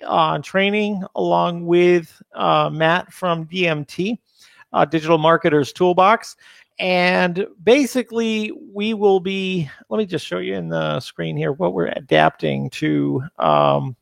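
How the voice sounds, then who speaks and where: A middle-aged man with a gravelly voice co-hosting a live webinar, talking hesitantly with many ums and uhs. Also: high-pitched, no room sound, studio quality. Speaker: webinar co-host.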